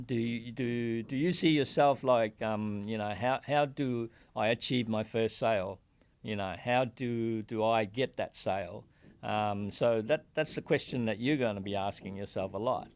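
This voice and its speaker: A middle-aged man hosting a podcast interview, who talks in a halting way and fills his speech with many fillers and restarts. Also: fairly low pitch, no room sound. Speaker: podcast host.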